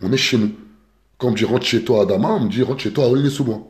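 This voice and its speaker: A man from France making an earnest, deliberate political appeal as an activist spokesperson, repeating key words for emphasis. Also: medium pitch, darkish tone. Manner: earnest, repetitive, stresses words like "exactement" and "simple"; deliberate, measured delivery. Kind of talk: political appeal, live video.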